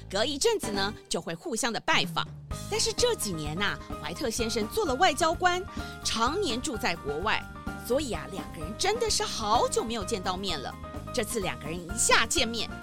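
Animated woman narrating a children's story. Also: medium pitch.

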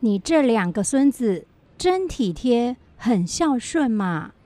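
Woman playing a high-voiced, chatty village auntie. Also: sing-song, takes it slowly, mellow, no echo. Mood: admiring. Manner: inquisitive, admiring, folksy. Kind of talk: children's educational radio drama acting.

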